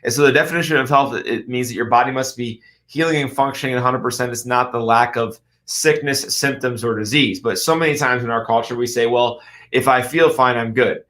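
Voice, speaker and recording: Middle-aged Midwestern man, radio health host; earnest, emphatic delivery with rhetorical questions, mid-range pitch. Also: brisk, a bit bright. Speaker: radio show host and chiropractor. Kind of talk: health talk radio monologue.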